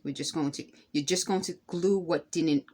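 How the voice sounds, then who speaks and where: A woman with a slightly low voice talks viewers through a hands-on craft. She is casual and chatty, explaining step by step and wandering a bit as she goes. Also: fairly even intonation, moderate pace, darkish tone. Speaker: craft tutorial host.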